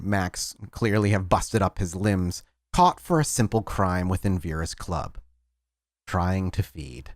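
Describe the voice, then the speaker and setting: A man's voice: fairly low pitch, dry recording, clean studio sound. A man narrating a tabletop horror game as storyteller, in dramatic style.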